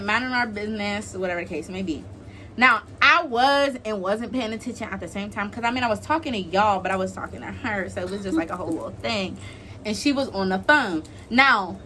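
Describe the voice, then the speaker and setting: A young American woman hosting a casual storytime vlog, talking in an animated, slangy, giggly way. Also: mid-range voice, slight background noise. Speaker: vlog host.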